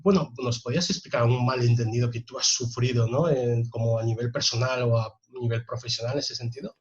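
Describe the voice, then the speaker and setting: A man's voice: mid-range voice, steady tone, brisk. Guy interviewing someone, kinda dull lo-fi sound, mid voice, bit hesitant. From Spain. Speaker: interviewer, host. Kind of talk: semi-formal conversational interview.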